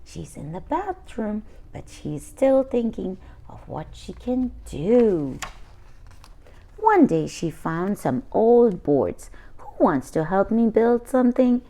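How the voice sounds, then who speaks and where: Slow, lively woman reading a picture book. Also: on the low side. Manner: slow and lively, pausing to add asides. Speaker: children's book reader.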